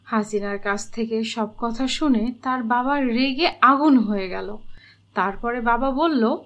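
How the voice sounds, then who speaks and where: A woman's voice: a little high, a little slow, fairly bright tone, highs cut off. A woman reading scripted storybook narration for a children's cartoon.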